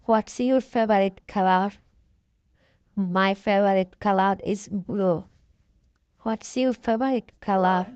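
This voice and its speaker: A woman slowly reading short beginner conversation drills for an English lesson, each line spoken with deliberate care. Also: medium pitch, darkish tone, clean tone, dry recording, highs cut off. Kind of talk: slow scripted conversation drill.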